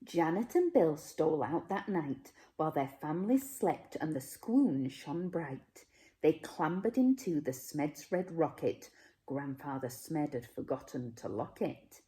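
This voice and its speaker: Slow, expressive woman reading a story. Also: deep voice. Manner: measured, dramatic phrasing for children. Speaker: teacher reading a children's story. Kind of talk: expressive rhyming storybook narration.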